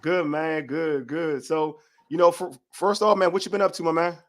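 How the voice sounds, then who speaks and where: A man's voice: on the high side, fairly even intonation, moderate pace, noisy background. A man, hosting a casual sports podcast over a video call and talking in loose, slangy repeats. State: hoarse from a cold. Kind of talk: casual sports talk show.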